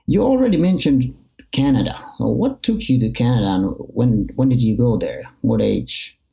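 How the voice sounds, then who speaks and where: Very dark, creaky male interviewer. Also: mid-range voice, big pitch swings, moderate pace, poor audio. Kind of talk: conversational interview.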